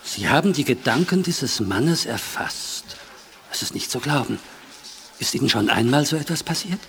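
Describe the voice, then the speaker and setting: An actor playing a courteous, formal bank director full of wonder in a German audio drama. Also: male voice, medium pitch, animated, normal speed, vocal fry, a bit bright, a little hiss or hum. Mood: intrigued, puzzled.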